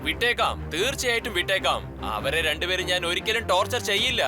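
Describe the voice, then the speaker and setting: A boastful villain commander from a children's cartoon, with a high-pitched male voice. He gloats and taunts as he hands out theatrical orders, over clear background music. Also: lively intonation, quick pace. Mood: gloating, menacing. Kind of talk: dubbed children's cartoon acting.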